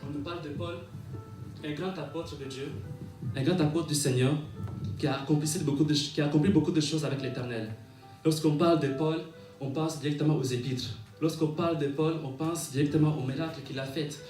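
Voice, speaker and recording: A man's voice: a little high, steady tone, darkish tone. A man preaching a sermon and reading scripture, repeating phrases in a rhythmic way and punctuating them with amens. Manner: halting when reading aloud, repeats and rephrases phrases; rhythmic repetition, calls for amens. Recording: echoey room, distant mic, music behind.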